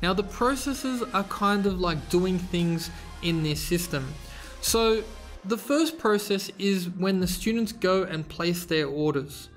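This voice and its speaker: Man, high-pitched, tutorial host, relaxed explaining, casual fillers, faint background music. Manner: relaxed, explanatory, frequent fillers like "you know". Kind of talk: screen-recorded tutorial narration. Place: voice-over over slides.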